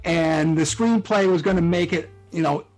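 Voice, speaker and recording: Guy guest w/ a highish voice, kinda rambly n unsure, self deprecating, music in background. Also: moderate pace, a little mellow, rough recording. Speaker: guest writer. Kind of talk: casual interview chat.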